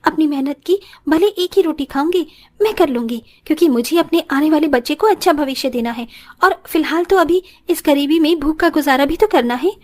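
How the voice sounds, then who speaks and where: A woman's voice: high-pitched, a little fast, natural voice. A woman playing a humble, hardworking young village wife with an earnest, self-sacrificing manner in a moral story cartoon. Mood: determined. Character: humble, hardworking poor village daughter-in-law, expecting a child.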